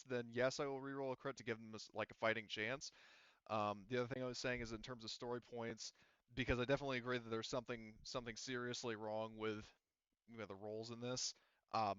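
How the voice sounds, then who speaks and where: A man running a tabletop roleplaying game as game master, explaining rulings in a halting, self-correcting way. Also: medium pitch, a bit bright, clear, resonant tone, no room sound, lo-fi sound.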